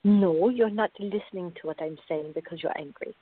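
An actress playing a calm, patient, gently firm woman who reasons in measured tones in a radio drama. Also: fairly low pitch, close to an everyday voice. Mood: reasoning. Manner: measured, placating, explanatory. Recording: tinny, band-limited, echoey room.

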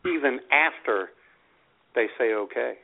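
A man's voice: mid-range voice, animated, a little slow, vocal fry, slight background noise. A tinny, phone-like recording of a male co-host in casual banter. He wisecracks dryly, his mid-range voice moving freely up and down. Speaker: radio show co-host. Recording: tinny phone-like radio audio.

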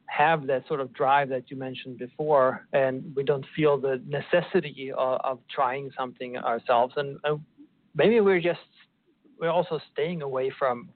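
A man with a Swedish accent, a professor guest, answering in a measured way and often repeating words. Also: medium pitch. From Sweden. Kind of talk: conversational interview. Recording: tinny phone line.

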